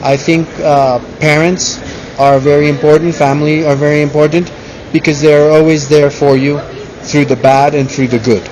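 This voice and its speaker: A man's voice: fairly high pitch, flat delivery, normal speed, a little mellow, low-quality recording. A man in his early forties, raised in the United States, answering interview questions. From born in Colombia, raised in the United States. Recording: busy public place, crowd noise. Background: crowd noise.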